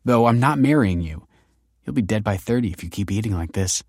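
A man voicing a feisty young woman in a narrated story, brisk, sardonic and emphatic. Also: on the low side, animated, darkish tone, no echo, clean studio sound. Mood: teasing, incredulous. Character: sharp-tongued, teasing, feisty young woman.

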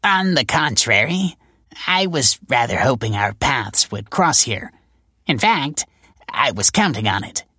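A man's voice: mid-range voice, very animated, unhurried, bright, forceful tone, breathy edge, no echo, voice pushed up, heavily acted. A male narrator voicing a wry, world-weary female detective in an audiobook, with a dry, sardonic manner. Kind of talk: audiobook character voice.

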